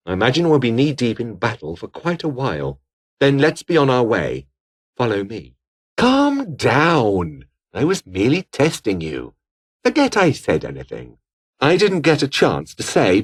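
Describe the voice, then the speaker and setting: Haughty British rebel officer, swooping intonation. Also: male voice, mid-range voice, wildly swooping pitch, normal speed. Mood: businesslike. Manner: pompous, sneering, clipped formal phrasing. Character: haughty, bitter, scheming fallen-aristocrat rebel officer. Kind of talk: video game character dialogue.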